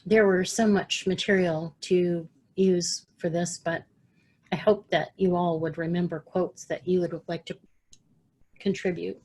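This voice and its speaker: Woman, webinar presenter, mellow, lowish voice, steady reading. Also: unhurried, breathy edge. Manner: reads passages steadily, then moderates the call. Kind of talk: reading and moderating a study webinar.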